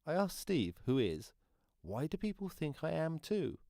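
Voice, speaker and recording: A man's voice: a little high, sing-song, measured pace, creaky, mellow, dry recording, studio quality, full character voice. A man acting an earnest deaf man in a radio play monologue, telling his story in simple, literal steps. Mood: earnest, hurt. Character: earnest deaf man recounting events plainly. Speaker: actor in a student radio play. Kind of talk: first-person radio drama monologue.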